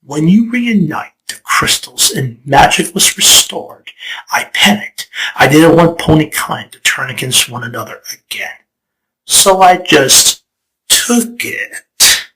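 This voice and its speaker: A man's voice: on the high side, expressive, pushed and bright, full character voice, rough recording. A man voicing a scheming, theatrical male chaos spirit in a fan comic dub. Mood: wistful. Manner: dramatic, teasing, slow and deliberate. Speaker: storyteller figure.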